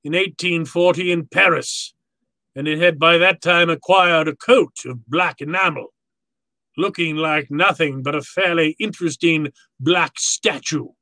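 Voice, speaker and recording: Man playing a pompous villain, bright forceful voice. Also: a little high, measured pace, no room sound. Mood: relishing. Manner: florid, pompous, theatrical. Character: courtly, gloating, verbose male villain, obsessive collector. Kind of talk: 1940s radio drama acting.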